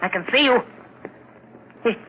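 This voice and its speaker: An actor playing a terrified, cornered man, shrill and stammering, in 1940s radio horror style. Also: animated, measured pace. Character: terrified man cornered by his would-be killer. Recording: muffled, noisy 1940s radio recording.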